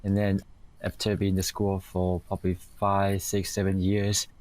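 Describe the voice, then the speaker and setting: A middle-aged man from Taiwan with a Taiwanese accent, giving halting, reflective interview answers as a guest. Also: low voice, completely flat delivery, darkish tone. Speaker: guest, company founder and engineer.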